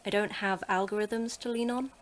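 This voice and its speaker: A woman's voice: mid-range voice, fairly even intonation, moderate pace, slight background noise, close to an everyday voice. A woman playing the terse, dry-witted manager of a secret facility in a sci-fi horror audio drama.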